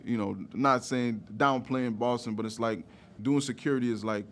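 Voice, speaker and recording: A man's voice: medium pitch, steady tone, normal speed, slight background noise. A man in his thirties hosting a podcast in a casual, slangy way, often checking in with "you know" phrases. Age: 30s. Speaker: podcast host.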